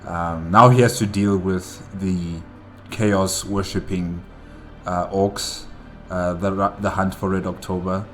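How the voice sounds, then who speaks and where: Slow-talking low-voiced man reacting casually. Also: fairly even intonation, soft background music. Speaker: reaction video host. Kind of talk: casual solo reaction commentary.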